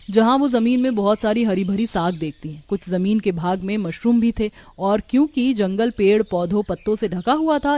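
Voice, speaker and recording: A woman's voice: mid-range voice, dark tone. A woman narrating a scripted cartoon story.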